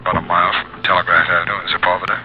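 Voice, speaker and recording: A male actor as a desperate fugitive captive in vintage radio drama, deep-voiced and rapid. He is wary and demanding, heard through muffled old audio with music behind. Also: little pitch movement, natural voice. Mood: wary, urgent. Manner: suspicious, urgent, demanding. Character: wary, desperate escaped kidnap victim, a businessman. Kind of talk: 1950s radio drama acting.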